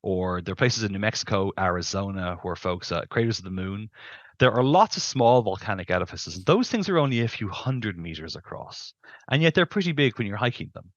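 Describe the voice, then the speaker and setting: A man's voice: on the low side, lively intonation, fairly quick, dry recording, highs cut off. A middle-aged man, a scientist being interviewed, explains things informally and emphatically, rephrasing for precision on a headset mic. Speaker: planetary scientist, interview guest. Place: indoors on a video call.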